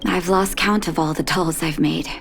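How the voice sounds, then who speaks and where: An actress playing a weary, caring carer of children in a video game, measured and gently scolding, exasperated yet fond. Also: female voice, lowish voice, creaky, a little hiss or hum. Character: weary, caring adult woman, doctor and orphanage carer. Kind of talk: video game character acting.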